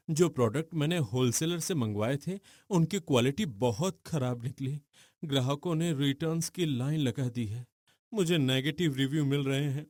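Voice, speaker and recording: Guy doing an earnest poor young lad in a cartoon drama, husky n slow, kinda emotional. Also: fairly high pitch, darkish tone. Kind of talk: dramatic cartoon story dialogue.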